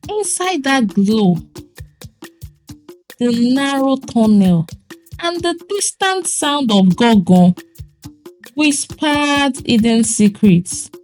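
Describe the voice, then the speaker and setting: A woman with a Nigerian accent tells a folktale as host, in a theatrical, suspenseful way with deliberate phrasing. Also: high voice, very animated, a little mellow, soft background music. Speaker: storyteller and host. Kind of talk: dramatic folktale storytelling.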